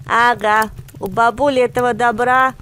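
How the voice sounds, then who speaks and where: A woman's voice: on the high side, fairly even intonation, moderate pace, fairly bright tone, a little hiss or hum, natural voice. A woman voicing a curious, cheeky schoolboy grandson in a storybook reading, eager and colloquial. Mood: surprised, cheeky.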